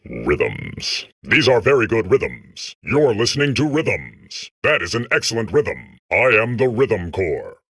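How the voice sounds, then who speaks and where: A man voicing a blissful, self-absorbed robot core in a comedic video game, with a gravelly rasp and languid, savouring phrases. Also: lowish voice, very animated, takes it slowly, fairly bright tone, dry recording, clean studio sound, exaggerated voice. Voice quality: gravelly, raspy.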